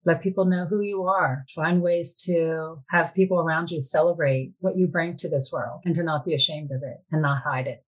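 A woman's voice: deep voice, steady tone, normal speed, few pauses, rough recording. A woman giving personal testimony in an interview, speaking in an earnest, plain-spoken and encouraging way. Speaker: interviewee, adoptive mother.

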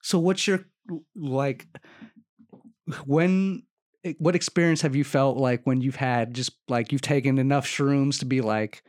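A man's voice: medium pitch, fairly even intonation, a little slow, darkish tone. A man hosting a casual podcast chat, feeling his way through questions and rewording them as he goes. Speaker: podcast host.

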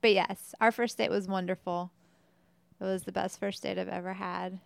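A woman in her thirties with a small, light voice chats on a podcast with her husband. Her tone is clear but has a gravelly fry. She sounds wry and teasing, and the recording is dry and close. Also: mid-range voice, fairly even intonation, normal speed, no room sound. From Tennessee. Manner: wry, teasing, laughs often. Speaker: podcast co-host. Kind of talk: casual chat.

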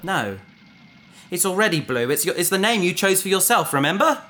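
An actor playing a preoccupied, gently stubborn space traveller in a naturalistic sci-fi audio drama, hesitant and often trailing off. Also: male voice, high voice, sing-song, normal speed, heavily acted. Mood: troubled, determined.

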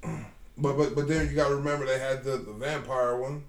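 A man co-hosting a podcast in casual chat, eager, quick to agree and ask questions. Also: medium pitch, slight background noise. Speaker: podcast co-host.

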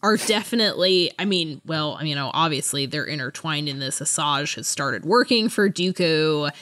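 Woman with a very lively, lowish voice. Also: moderate pace. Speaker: podcast host. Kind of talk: casual book discussion.

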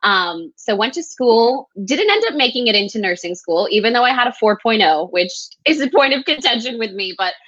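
A woman's voice: a little high, big pitch swings, brisk, hardly any pauses, a bit bright, no room sound. A woman from Texas, a recruiter, telling her own story candidly and reflectively as a podcast guest. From El Paso, Texas. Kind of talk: casual interview storytelling. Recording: dry, slightly dull sound.

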